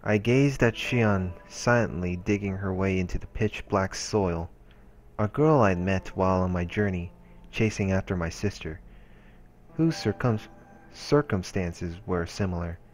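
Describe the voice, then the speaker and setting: A man narrating a visual novel aloud in a measured storytelling manner. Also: deep voice. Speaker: let's-play narrator. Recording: voice-over with music behind. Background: game music.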